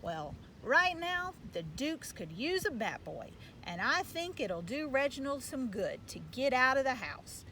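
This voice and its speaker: A woman voicing a gruff, blustering, folksy father who manages a losing baseball team, in a storybook read-aloud. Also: high-pitched, big pitch swings, unhurried, few pauses, bright, forceful tone, full character voice. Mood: blustering, persuasive. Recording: clear background noise.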